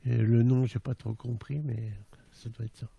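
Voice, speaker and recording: A man's voice: medium pitch, level tone, moderate pace, vocal fry, husky, lo-fi sound. A man chatting casually as he hosts an online live music stream. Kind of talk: casual chat.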